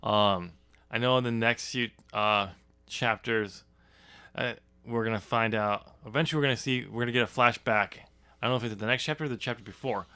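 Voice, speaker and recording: A man's voice: mid-range voice, a little fast, pushed and bright, clean tone. A man hosting a casual podcast, talking hesitantly about his writing and restarting his sentences. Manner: hesitant, trails off and restarts, laughs often. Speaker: author and podcast host.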